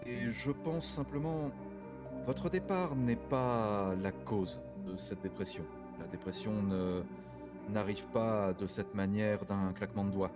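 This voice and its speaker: A man playing a courteous private detective in online roleplay, explaining things formally and deliberately, with halting pauses. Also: on the low side. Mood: grave, measured. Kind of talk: improvised French online roleplay. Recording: background music under the voice.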